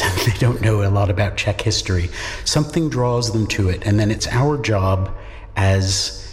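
A middle-aged man, a native English speaker and university lecturer, giving thoughtful, articulate interview answers in a reflective, lightly self-deprecating way. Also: lowish voice. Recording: echoey hall with long reverb.

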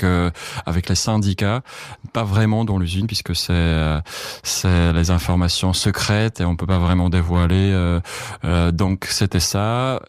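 Deep-voiced man, hesitant speech. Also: darkish tone, smooth, dry recording, clean studio sound. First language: Czech. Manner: hesitant, many fillers and restarts. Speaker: journalist, correspondent in France. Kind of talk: interview answers.

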